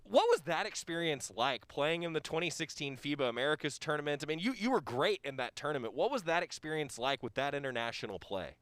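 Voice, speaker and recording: Enthusiastic guy hosting a sports podcast, smooth clear voice, dry sound, trips over his first words. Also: a little high, expressive, fairly quick, hardly any pauses, a bit bright, no room sound. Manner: upbeat, enthusiastic, stumbles on his starts. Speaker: podcast host. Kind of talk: friendly sports interview.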